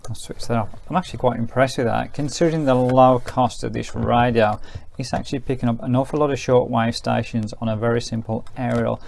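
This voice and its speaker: A man reviews a gadget in a casual, hands-on way. His mid-pitched voice has some fry in it, and he thinks aloud with small hedging asides. The amateur recording carries light hiss and clicks. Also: fairly even intonation, brisk, hardly any pauses. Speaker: product reviewer.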